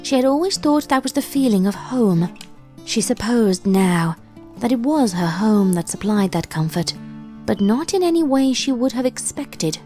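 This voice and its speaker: A woman reading a dark fanfic aloud in a measured, deliberate storytelling manner. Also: on the high side, animated, faint music behind. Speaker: fanfic reader. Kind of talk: dramatic story narration.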